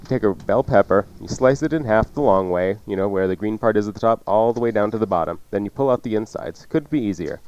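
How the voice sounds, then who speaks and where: A man's voice: fairly low pitch, quick pace, few pauses, a little mellow, clean tone, highs cut off. A man hosting a cooking show, chatting through recipe instructions off the cuff with joking asides. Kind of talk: casual unscripted radio cooking instruction.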